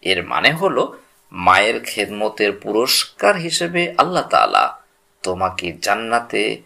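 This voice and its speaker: A man voicing a solemn, earnest prophet in a religious story, with grave, formal, deliberate address. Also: on the low side, sing-song, vocal fry, sharp tone, heavily acted.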